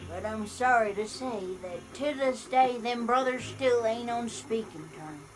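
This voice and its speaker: A woman playing a wise old granny pony with an American Southern accent, telling things in a homespun, gently scolding way for a cartoon comic dub. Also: on the high side, a little slow, lightly acted, rough recording. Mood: wistful. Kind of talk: fan comic dub character acting. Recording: music playing behind the voice.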